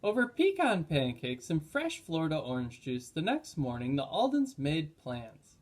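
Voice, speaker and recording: Guy reading a kids book out loud, slightly high voice. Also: wildly swooping pitch, moderate pace. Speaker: teacher reading a children's book aloud. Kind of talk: scripted story narration, read-aloud.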